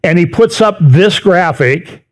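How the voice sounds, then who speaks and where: A middle-aged man talks through a slideshow in a loose, conversational way, his voice sitting a touch higher than most men's. He repeats words to stress them and tosses in dry little asides. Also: expressive, measured pace. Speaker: speaker giving a prophecy update talk. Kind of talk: lecture with slides.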